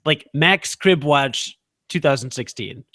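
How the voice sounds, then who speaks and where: A man's voice: fairly high pitch, takes it slowly, pushed and bright, no room sound, studio quality. Joking, sarcastic male podcast co-host. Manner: joking, sarcastic, mock-authoritative. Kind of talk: casual chat.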